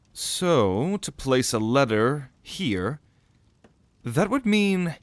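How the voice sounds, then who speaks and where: Man playing a cocky, unhurried young noble prince. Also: medium pitch, no room sound, lightly acted. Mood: alarmed, deducing. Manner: swaggering, sweary, theatrical. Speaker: ASMR roleplay voice actor. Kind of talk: audio roleplay acting.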